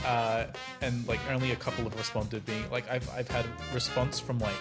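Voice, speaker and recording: A man with a mid-pitched voice talks casually about himself as a podcast panellist. He is halting and self-deprecating, and a guitar plays clearly behind him. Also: little pitch movement. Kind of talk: casual chat, personal anecdotes. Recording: guitar music under the voice. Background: plucked guitar music.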